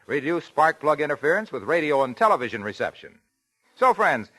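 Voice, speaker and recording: Eager salesman, mid-pitched voice, very animated intonation, boastful emphatic patter, vintage radio ad. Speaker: commercial announcer. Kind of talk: 1940s radio sponsor sketch.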